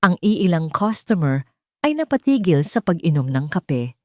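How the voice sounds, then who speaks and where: A woman's voice: on the low side, big pitch swings, unhurried, dark tone, dry recording, clean studio sound. A woman narrating a scripted dramatic story as an audio drama narrator.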